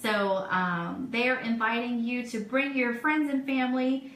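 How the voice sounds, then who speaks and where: A woman's voice: a little high, a little slow. A woman radio host chatting enthusiastically and informally as she runs through upbeat event announcements. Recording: roomy echo, steady background noise.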